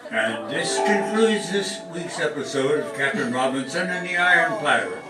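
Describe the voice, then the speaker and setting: A man's voice: fairly high pitch, animated, moderate pace. A man narrating a live comedy radio show as its announcer in scripted style. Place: live stage show in a room. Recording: distant mic, echoey hall, loud music behind.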